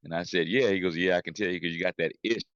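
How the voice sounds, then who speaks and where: A middle-aged man co-hosting a sports podcast, his voice hoarse and raspy after losing it at a game. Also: low voice, steady tone, quick pace, fairly bright tone, no room sound, dull audio. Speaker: podcast co-host. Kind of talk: casual sports chat.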